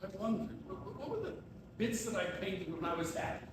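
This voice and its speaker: An actor on stage playing an intense, obsessive, fearful painter, speaking haltingly with repeated words. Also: male voice, high-pitched, expressive. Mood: agitated, searching. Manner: halting, repeats words, builds into urgent questions. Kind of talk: live stage play acting. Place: tavern theater performance, recorded from a distance. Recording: echoey room, far-off mic, clear noise.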